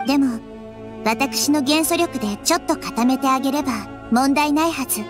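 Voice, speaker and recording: A woman voicing a wise, caring little-girl deity in an anime game, soft, thoughtful and measured. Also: high-pitched, close to an everyday voice. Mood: gentle resolve. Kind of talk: Japanese anime game voice acting. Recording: music behind the voice.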